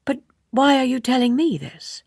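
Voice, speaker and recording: A woman's voice: high voice, sing-song, moderate pace, creaky, a little mellow, no echo, studio quality, voice pushed up, exaggerated voice. A woman reading an audiobook, voicing a composed, guarded young woman who is formal, restrained and politely challenging. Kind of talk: audiobook character dialogue.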